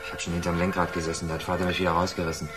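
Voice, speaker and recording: A man's voice: sits low, monotone, racing, over music, close to an everyday voice. An actor playing an anxious young male petty thief in a radio crime drama, pleading and evasive. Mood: evasive, defensive. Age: young adult.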